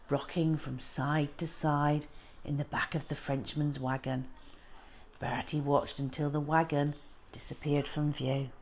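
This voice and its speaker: Female storybook narrator, slightly low register, slow measured pacing, expressive and dramatic. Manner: measured, dramatic delivery with hushed phrases. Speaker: children's storyteller.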